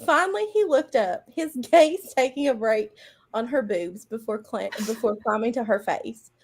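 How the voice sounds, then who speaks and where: A woman's voice: a little high, expressive, moderate pace. A woman narrating a story in a playful, amused way during an amateur group reading.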